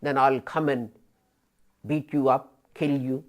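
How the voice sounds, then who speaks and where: Middle-aged imam, slow measured sermon voice, builds emphasis then drops off. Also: male voice, mid-range voice, level tone. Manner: deliberate, slow preaching with rising emphasis and pauses. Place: small studio before a printed backdrop. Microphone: fixed camera, head and shoulders framing.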